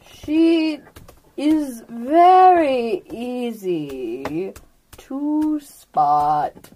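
A woman voicing an elderly, gentle turtle who talks very slowly, with long pauses, in a tabletop game. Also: high-pitched, exaggerated voice. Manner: drawn-out, very slow delivery with long pauses. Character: slow-talking elderly turtle, unhurried and gentle.

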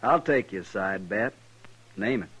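An actor playing a blunt, betting cowhand in a 1950s radio western, with terse, rustic speech. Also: male voice, lowish voice, animated, a little slow, fairly bright tone, husky, heavily acted, low-quality recording.

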